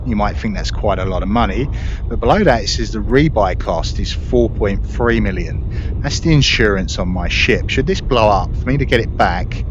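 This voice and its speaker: Low-voiced man giving casual gameplay commentary. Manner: explanatory, conversational. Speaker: gaming video creator. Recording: muffled mic with faint noise.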